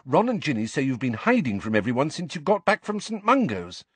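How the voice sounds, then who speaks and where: A man voicing a brisk, bossy, clever teenage schoolgirl in an audiobook, airy and impatient in manner. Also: medium pitch, sing-song, slightly rough, dry recording. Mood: impatient, sharp.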